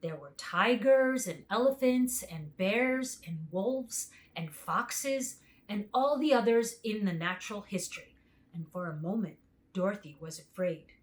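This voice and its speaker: A woman reading a children's book aloud as a storyteller, with expressive narration. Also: mid-range voice, a little slow.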